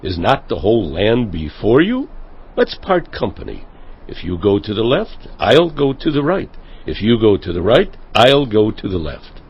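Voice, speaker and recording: Husky, animated biblical patriarch. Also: male voice, fairly low pitch, moderate pace, highs cut off, exaggerated voice. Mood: conciliatory. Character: earnest adult male biblical patriarch, conciliatory herdsman. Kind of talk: dramatized audio Bible acting.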